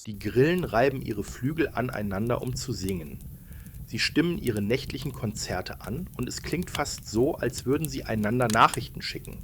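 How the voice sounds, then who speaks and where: A man's voice: mid-range voice, animated, normal speed, slight background noise. A man narrating a scripted bedtime story for children, explaining things and addressing them directly.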